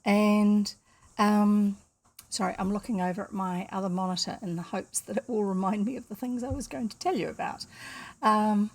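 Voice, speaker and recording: A woman's voice: medium pitch, fairly even intonation, moderate pace, poor audio. An older woman with a New Zealand accent reads a storybook aloud at her home desk, chatty and rambling, stopping often to explain things. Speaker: storyteller reading books aloud. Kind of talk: casual read-aloud with chatty asides.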